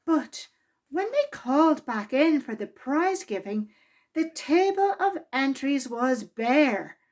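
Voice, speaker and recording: A woman reading a children's storybook aloud as a storytime reader, in a deliberate, expressive way meant for young listeners. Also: high-pitched.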